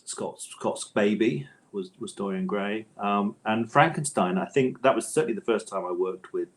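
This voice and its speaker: Middle-aged man, lowish voice, interview guest, conversational, thoughtful, hesitant, self-rephrasing. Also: moderate pace. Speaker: interview guest, author and audio drama scriptwriter.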